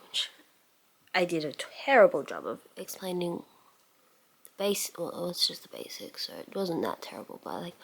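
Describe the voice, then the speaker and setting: Slow-paced woman chatting through a makeup tutorial. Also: medium pitch. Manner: musing aloud while working, sentences trailing off. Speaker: beauty vlogger giving a makeup tutorial. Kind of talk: casual makeup tutorial chat.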